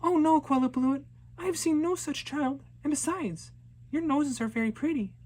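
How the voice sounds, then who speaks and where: A man voicing a bold, boastful young girl in a high, eager voice. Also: moderate pace. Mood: sweet-talking. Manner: high, loud and excited, cheeky.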